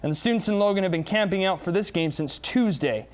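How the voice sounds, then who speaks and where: A young American man narrating high school and college sports highlights with an energetic, punchy delivery. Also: fast talker. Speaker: sports reporter intern.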